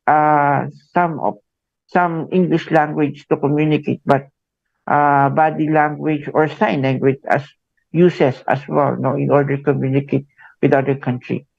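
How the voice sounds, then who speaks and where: A Filipino man hosting a radio interview, talking haltingly with many fillers and a tag "no?" after his phrases. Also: on the high side, fairly even intonation, gravelly, a little mellow, no room sound. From Philippines. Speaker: radio show host. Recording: dull lo-fi radio sound.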